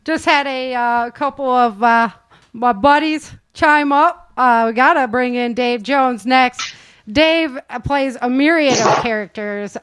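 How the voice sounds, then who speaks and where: A woman's voice: high-pitched, a little slow, fairly bright tone, clear, resonant tone, no echo. A woman hosting a casual cast interview, chatty and informal, often chuckling as she talks. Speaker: host and producer of an audio drama.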